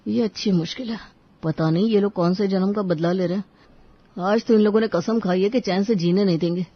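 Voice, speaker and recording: A woman's voice: medium pitch, brisk, dry recording, highs cut off, close to an everyday voice. A woman voicing grumbling young males of a family in a cartoon story, with a complaining manner.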